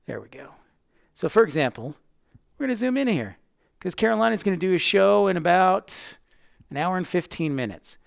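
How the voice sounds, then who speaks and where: A man's voice: sits high, animated, moderate pace, creaky, pauses often, dry recording, studio quality. A man hosting a livestream, casually explaining online tools step by step and chatting directly with viewers in an informal way. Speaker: livestream host teaching plane-spotting tools.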